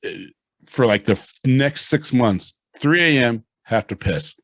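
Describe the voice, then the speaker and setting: A man in his forties hosting a podcast in casual chat. He tells stories with energy and loudly acts out the lines he quotes. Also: mid-range voice, a little slow, no room sound, studio quality. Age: 40s. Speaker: podcast host.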